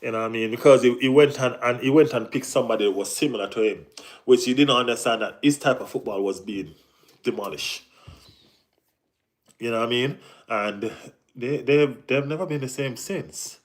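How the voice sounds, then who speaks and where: A man's voice: mid-range voice, moderate pace. A Jamaican man hosting a casual football live stream, reading and answering chat, often saying "you know what I mean".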